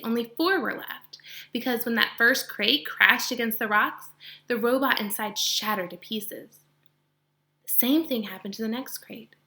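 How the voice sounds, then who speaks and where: A woman reading a children's novel aloud with expression, pausing to explain narrative point of view to her listeners like a teacher. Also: mid-range voice, vocal fry, pushed and bright.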